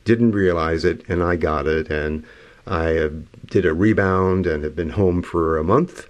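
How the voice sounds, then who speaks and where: A man with a very low, hoarse voice introduces records on the radio. He talks slowly and loosely, and his level sometimes drops away. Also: no echo. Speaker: radio host. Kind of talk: relaxed music radio links.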